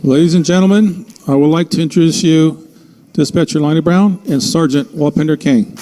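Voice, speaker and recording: Male official addressing a meeting, dark relaxed tone, slightly high pitch, informal and halting. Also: big-room sound, a little hiss or hum. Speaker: official introducing police staff at a meeting. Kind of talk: informal public remarks. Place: indoor city council meeting.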